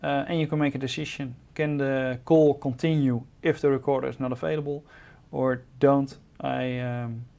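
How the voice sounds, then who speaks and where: A man's voice: medium pitch, steady tone, moderate pace, vocal fry, hardly any pauses, dark tone. A man co-presenting, giving a conversational technical explanation.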